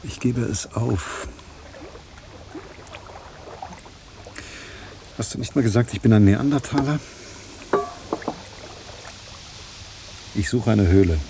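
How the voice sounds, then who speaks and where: An actor playing a frustrated journalist in a radio play, dry, ironic and musing, resigned yet affectionate. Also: male voice, fairly low pitch, brisk, gravelly, noisy background. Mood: playful.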